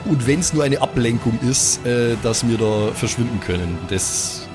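A man's voice: medium pitch, animated, measured pace. Male tabletop role-player. Casual, wordy, improvised delivery with a light music bed. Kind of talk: improvised in-character talk and casual game chat.

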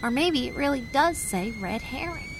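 A woman playing a curious, sharp young girl detective in an old-time radio mystery. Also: high voice, animated, moderate pace. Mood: inquisitive. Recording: noisy recording with hum.

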